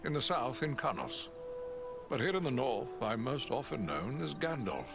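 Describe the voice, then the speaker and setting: Grand old wizard, sweeping delivery. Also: male voice, medium pitch, big pitch swings, vocal fry, music in the background. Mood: grand, introducing himself. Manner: measured, theatrical, declamatory. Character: wise old male wizard, grave, courteous, commanding. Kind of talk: dramatic fantasy game acting.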